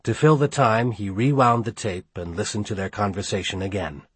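A man narrating a thriller audiobook in a measured, dramatic storytelling manner. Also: lowish voice, slightly rough, no echo. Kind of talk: scripted narration.

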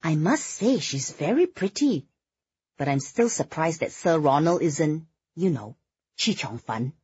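A woman voicing a gossipy, excitable society woman in an audiobook. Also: low-pitched, measured pace, slightly rough, dry recording, lo-fi sound, heavily acted. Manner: chatty, gossiping.

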